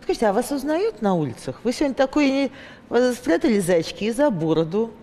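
Lively middle-aged woman host, reverberant studio. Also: fairly high pitch, quick pace. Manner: curious, lively questioning. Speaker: talk show host. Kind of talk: talk show interview. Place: TV studio with audience.

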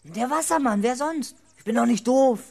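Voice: mid-range voice, normal speed, creaky, darkish tone. An actor playing a small, gullible but self-assured schoolboy in a children's radio play, earnest and stubborn. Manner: earnest, stubborn, short confident replies. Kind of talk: 1970s German children's radio play acting.

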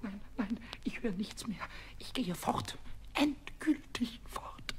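Tiny cheeky whiny kobold, squeaky n scratchy, jumps all over, big echo and hiss, old kids audio play. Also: male voice, takes it slowly, whispered. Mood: sulky, mournful. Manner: excitable, stammering, repeating words. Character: small mischievous male kobold, childlike, defiant and whiny. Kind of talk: German children's audio play acting.

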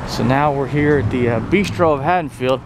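A man's voice: medium pitch, brisk. A man giving a casual, wry walking-tour commentary, reading signs aloud in an offhand way. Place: outdoors on a town shopping street. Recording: noisy outdoor street recording, traffic ambience. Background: loud street and car noise.

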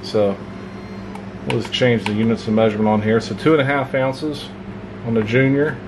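A man casually reviewing a pocket knife at his home workbench, relaxed and folksy, with plenty of filler words. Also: medium pitch, normal speed. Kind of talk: casual hands-on product review. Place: home workshop desk, indoors. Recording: clear hum of room noise.

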